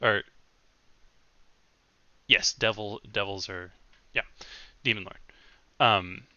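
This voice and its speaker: A man's voice: lowish voice, takes it slowly, pushed and bright, no echo, dull audio. A man acting as game master, recapping the last session in a loose way with many ums and self-corrections.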